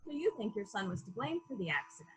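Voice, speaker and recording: A woman's voice: mid-range voice, big pitch swings, fast, slightly rough, rough recording. A woman playing a polite, probing police inspector in a murder mystery reading, asking formal, clipped questions. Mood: pressing.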